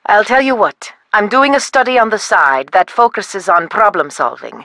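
A woman's voice: mid-range voice, bright, forceful tone, no room sound. A woman playing a wood lab scientist in a video game, asking methodical questions over the phone with a dry joking manner.

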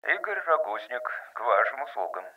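A man voicing a suspicious, defiant outcast worker in an audiobook, quiet, mocking and curt. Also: mid-range voice, sing-song, normal speed, distant mic, narrow, tinny audio.

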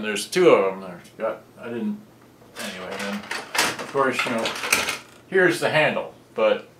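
A man casually walking through a cassette deck repair, rambling with halting restarts. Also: medium pitch, a little hiss or hum. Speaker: electronics repair hobbyist. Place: room, filming photos on a monitor. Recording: roomy, distant camera audio.

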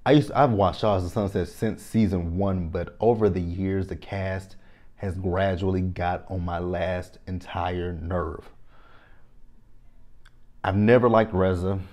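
Low, mellow-voiced Texan man vlogging. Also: measured pace. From Texas. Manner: animated, repeats phrases, talks straight to viewers. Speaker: reality TV recap vlogger. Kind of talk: casual opinionated review to camera. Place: small indoor room, plain backdrop. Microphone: lapel mic.

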